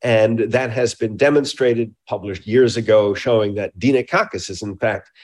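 A man's voice: fairly low pitch, moderate pace, a little mellow. A man speaking as an expert scientist in an interview, explaining technical matters in a precise, emphatic way.

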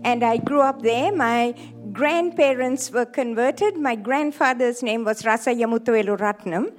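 Sri Lankan woman in her seventies, high voice, playful seminar speaker, faint music behind. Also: unhurried. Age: 70s. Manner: joking, lively, playful asides to the audience. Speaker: seminar speaker, sex therapist. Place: hall with a live audience.

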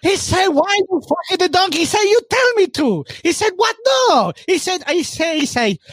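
A man putting on a loud Italian character with a comic accent and broken English, who tells a story about his cousin. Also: extremely high pitch, big pitch swings, normal speed, no room sound, studio quality, full character voice. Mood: storytelling. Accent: put-on Italian.

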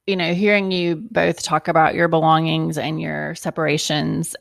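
A woman hosting a conversation, speaking gently and gratefully in a soft-spoken way. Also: low-pitched, little pitch movement, normal speed, gravelly, no echo, clean studio sound. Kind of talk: reflective conversation.

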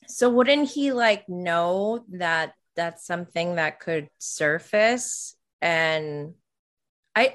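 A woman's voice: on the low side, a bit bright. A woman in her thirties co-hosting a casual, conversational TV recap chat. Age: 30s. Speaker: podcast co-host.

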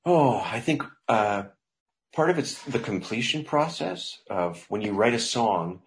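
A man's voice: mid-range voice, lively intonation, unhurried. A middle-aged man, a songwriter guest, talking loosely in an interview, rambling with restarts. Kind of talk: casual interview talk.

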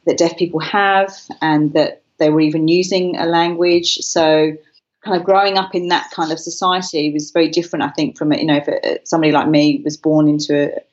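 A woman's voice: deep voice, fairly even intonation, brisk, dull audio. A woman from Britain, interviewed as a guest, talking about her life in a reflective, candid way. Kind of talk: conversational interview.

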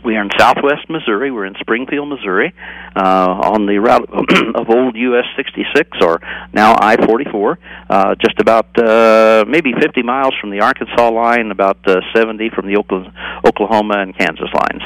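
An older American man speaks in a fairly low register with very little room sound around him. He explains things step by step in an informal interview style, pausing and rephrasing as he goes. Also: a bit bright, no echo, low-quality recording. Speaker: cassette manufacturer being interviewed.

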